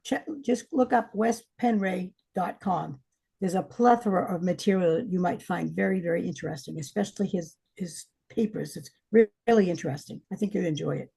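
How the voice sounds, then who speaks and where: A woman's voice: on the low side, fairly quick, creaky, mellow, breathy edge. A woman speaking as a guest in a casual conversation, agreeable and encouraging.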